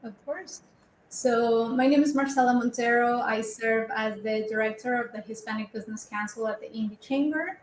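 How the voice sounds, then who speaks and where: A woman's voice: on the high side, normal speed, roomy sound, a little hiss or hum, rough recording. A woman from Ecuador introducing herself as a business council director, friendly with some hesitations. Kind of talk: casual interview.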